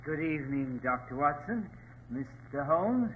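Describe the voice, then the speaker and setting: An actor in a 1940s radio drama playing a sinister, smugly courteous mortician with a formal, unctuous, deliberate manner. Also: male voice, a little high, animated, husky, heavily acted. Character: sinister, politely menacing male mortician, smugly courteous henchman. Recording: tinny, band-limited old radio recording.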